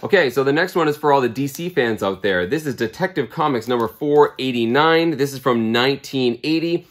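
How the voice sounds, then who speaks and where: A man's voice: medium pitch, animated, brisk. Upbeat guy in his 30s, chatty and casual, lots of um and like, talking right into the camera. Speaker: comic book channel host. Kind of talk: casual video blog, showing items. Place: small room lined with comic books. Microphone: camera at close range.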